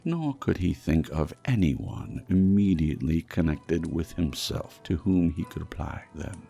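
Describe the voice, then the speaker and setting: A man's voice: extremely low pitch, very animated, measured pace, gravelly, dark tone. A man reading a classic ghost story as dramatic scripted audiobook narration. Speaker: audiobook reader.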